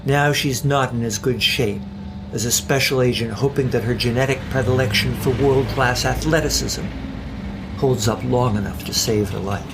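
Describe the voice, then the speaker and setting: A man's voice: medium pitch, normal speed, noisy background. A man reading scripted narration for an audio drama, with a wry storyteller's manner.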